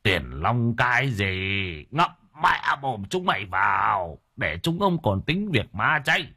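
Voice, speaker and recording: A man's voice: fairly low pitch, sing-song, slow, bright, forceful tone, breathy edge, heavily acted. A man voicing a furious, cursing old male clan elder. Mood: scolding. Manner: harsh, cursing, bossy.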